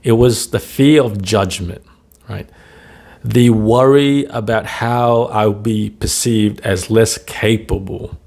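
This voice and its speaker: A man speaking slowly and deliberately in a lowish voice, leaving long pauses between phrases. He coaches people who stutter and talks straight to the viewer. He often checks in with a quick "right?" as he goes. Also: steady tone, gravelly, a little mellow. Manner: slow, deliberate delivery with frequent pauses and "right?" tags. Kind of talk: motivational talk to camera.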